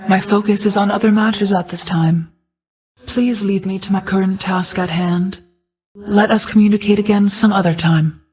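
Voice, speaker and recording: A woman's voice: medium pitch, steady tone, a little mellow, natural voice. Woman voicing a solemn, regal goddess of night. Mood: stern, reserved. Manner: measured, formal, stately, deliberate. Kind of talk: scripted video game character lines.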